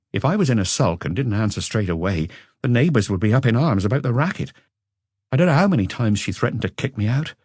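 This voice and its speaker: A man voicing an educated Frenchman who looks back on a passionate marriage with regret, in a measured, confessional audiobook reading. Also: on the low side, darkish tone, slightly rough, no room sound, studio quality, pitched above the performer's own voice. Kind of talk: audiobook character dialogue.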